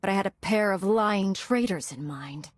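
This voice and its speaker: A woman's voice: mid-range voice, lively intonation, moderate pace, no echo, close to an everyday voice. An actress playing a defiant, wry female warrior bent on revenge in a video game, speaking with dry determination. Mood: wry, threatening. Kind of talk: dramatic video game dialogue.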